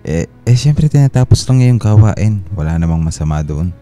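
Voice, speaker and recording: A man's voice: sits low, sing-song, a little fast, mellow, faint music behind. An actor playing a tired, dutiful husband and father in a Filipino radio drama, earnest and a little hesitant, with a Filipino accent. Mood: tired, evasive. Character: tired, dutiful working husband and father, grateful, somewhat evasive.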